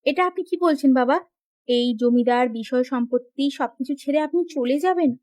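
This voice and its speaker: A humbled young sister-in-law in a family cartoon, played by a woman. Her voice is high and earnest, with a pleading, remorseful manner. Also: a little fast, a little mellow, close to an everyday voice. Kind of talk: Bengali moral cartoon voice acting.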